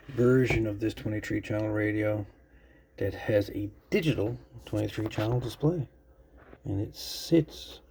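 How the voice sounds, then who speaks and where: A man giving a casual, unscripted show-and-tell as a video host, musing haltingly and trailing off as he goes. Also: lowish voice, warm tone, slight background noise. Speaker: host of a radio repair channel.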